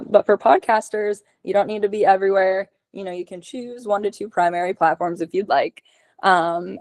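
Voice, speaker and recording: Woman presenting, voice getting hoarse. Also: mid-range voice, a little mellow, dry recording. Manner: casual, lots of ums and likes, list-like delivery. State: voice going hoarse. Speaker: podcast production consultant. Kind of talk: webinar presentation with slides.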